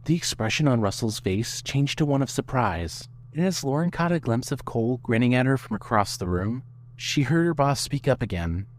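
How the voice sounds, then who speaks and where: A man's voice: mid-range voice, animated, normal speed, slight background noise. A man reading scripted narration for an audio fiction story.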